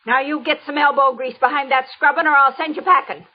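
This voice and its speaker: A woman's voice: high voice, fairly even intonation, fast, close to an everyday voice. An actress playing a harsh, bitter older washerwoman in an old radio drama, mocking and bullying in rustic, folksy speech. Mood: angry, bullying. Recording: noisy, dull old radio recording.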